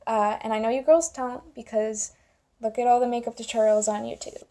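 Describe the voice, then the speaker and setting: A young woman with a fairly high voice that often drops into heavy creak. Her tone is mellow and dark-edged. She talks informally and wryly, as in an opinion vlog. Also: fairly even intonation, a little fast. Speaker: video commentator. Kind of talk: casual opinion vlog to camera.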